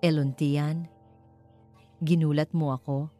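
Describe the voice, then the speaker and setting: A woman's voice: deep voice, little pitch movement, slow, mellow. A woman voicing a shifty, deceitful female neighbour, the villain of a storybook tale. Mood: startled, evasive. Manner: stammering excuses, veiled threats. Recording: music behind the voice.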